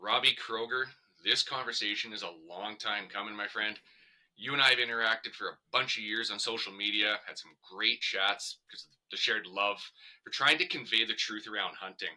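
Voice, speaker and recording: Bright, forceful male talk show host. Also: fairly low pitch, normal speed, low-quality recording. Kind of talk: hosting a talk show interview.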